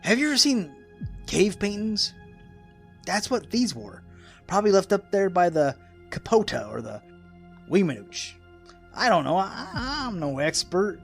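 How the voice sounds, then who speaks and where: An actor playing a folksy, rambling old American hermit in a radio drama, with a gravelly, raspy voice and an excitable rustic manner. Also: male voice, high voice, unhurried, long pauses, soft background music, full character voice. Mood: reflective. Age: older.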